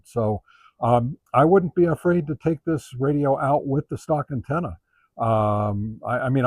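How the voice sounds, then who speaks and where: A man giving a casual, unscripted product review as host, talking in a halting, conversational way as he thinks aloud. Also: medium pitch, a little mellow, slightly rough, no echo.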